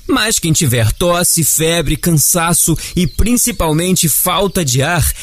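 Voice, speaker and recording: An actor with a Brazilian accent playing a friendly, caring Easter bunny who explains things patiently in a children's audio drama. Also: male voice, a little high, animated, takes it slowly. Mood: gently earnest. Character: friendly, caring male Easter bunny, gentle and civic-minded.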